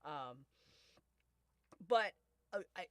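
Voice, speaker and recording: A woman's voice: on the low side, expressive, extremely slow, fairly bright tone, dry recording, studio quality. An American woman around thirty chatting casually as a podcast host, with emphatic, slangy, sweary phrasing. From United States. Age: late 20s to early 30s. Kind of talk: casual panel chat.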